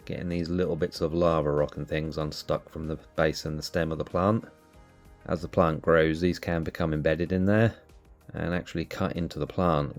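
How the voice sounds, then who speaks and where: Very deep-voiced nasal man narrating. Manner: relaxed, explanatory. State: nasal from allergies. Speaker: cactus channel host. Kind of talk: casual voice-over narration.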